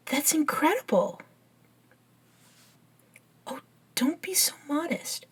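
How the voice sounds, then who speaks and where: A woman voicing an eager, curious, nerdy young best friend in a scripted ASMR roleplay, chatting and explaining in an unhurried, softly enthusiastic way. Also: mid-range voice. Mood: amazed. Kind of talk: ASMR roleplay, scripted one-sided conversation.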